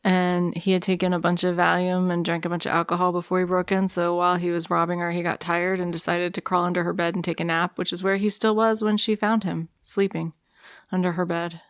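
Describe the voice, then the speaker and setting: A woman's voice: fairly low pitch, level tone, a little fast, clean tone, no echo, studio quality. A woman co-hosting a true crime podcast, telling the story in a chatty, self-deprecating way. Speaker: podcast co-host.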